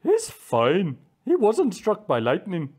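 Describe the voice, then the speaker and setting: A woman voicing a brash, loud male bird calling out in a children's story. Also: mid-range voice, wildly swooping pitch, slow, breathy edge, full character voice.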